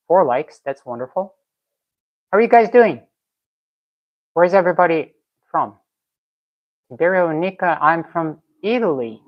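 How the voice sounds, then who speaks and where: Russian-born woman chatting with her stream. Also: sits low. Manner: reads out viewer comments and answers them. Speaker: live streamer. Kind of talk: casual live-stream chat. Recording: slightly dull lo-fi stream audio.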